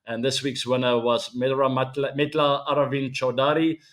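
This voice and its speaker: A man's voice: medium pitch, normal speed. A man in his forties with an Afrikaans-accented South African English voice, hosting a casual sports show and chatting to his viewers with lots of fillers. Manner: relaxed, chatty, many fillers, addresses fans as guys. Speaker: show host.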